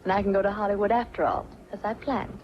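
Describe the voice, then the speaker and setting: An actress playing a greedy, gossipy young woman in a 1940s film, kittenish and excitable. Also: mid-range voice, fast talker, close to an everyday voice. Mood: greedy, coy. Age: young adult. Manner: coy, breezily excitable. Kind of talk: 1940s film noir acting. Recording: dull, faintly noisy 1940s film soundtrack.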